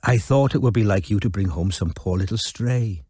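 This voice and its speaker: A man voicing an affectionate, cajoling Welsh woman with a deep voice in an audiobook. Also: moderate pace, warm tone, breathy edge, dry recording, clean studio sound. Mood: soothing. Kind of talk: audiobook character dialogue.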